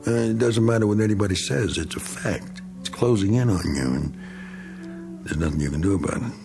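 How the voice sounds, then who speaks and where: Middle aged american war vet, deep gravelly voice, bitter and slangy, radio play. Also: male voice, fairly quick, music in the background. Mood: bitter, brooding. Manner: folksy, rambling, slangy. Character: bitter, self-pitying, needling war veteran. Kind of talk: radio drama acting.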